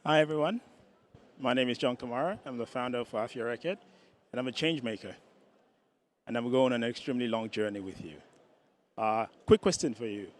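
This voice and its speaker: A man founding a startup, pitching on stage and questioning the audience. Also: a little fast, pauses often. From Ireland. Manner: engaging, asks audience questions. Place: outdoor stage.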